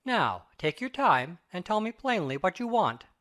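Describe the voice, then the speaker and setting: A man playing a sober, good-natured gentleman lawyer in a dramatic audiobook, speaking in a formal, earnest manner. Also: high-pitched, big pitch swings, normal speed. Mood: concerned.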